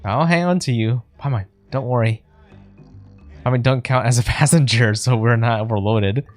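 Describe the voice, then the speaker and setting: A woman's voice: very deep voice, normal speed, a little mellow, faint music behind. A woman streamer giving casual, wry, reactive commentary while playing a game.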